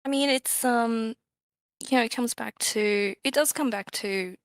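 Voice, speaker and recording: A woman's voice: a little high, unhurried, no echo, studio quality. A woman being interviewed as a game developer, chatting casually in a hesitant, thoughtful, self-effacing way.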